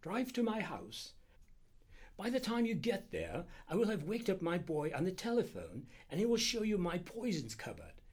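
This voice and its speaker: A man voicing an anxious, precise little Indian doctor in an audiobook, speaking in an urgent and deliberate way. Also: sits high, wildly swooping pitch, a little mellow, pitched above the performer's own voice, heavily acted. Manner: urgent, halting, deliberate, breathy at times. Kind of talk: audiobook character dialogue.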